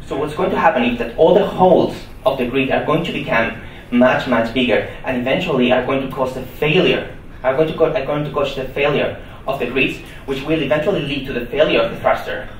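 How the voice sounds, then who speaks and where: A man's voice: medium pitch, fairly quick, a little hiss or hum. A man giving a technical conference talk, explaining step by step and posing rhetorical questions with fillers. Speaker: conference presenter. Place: conference hall. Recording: echoey hall recording from a distance.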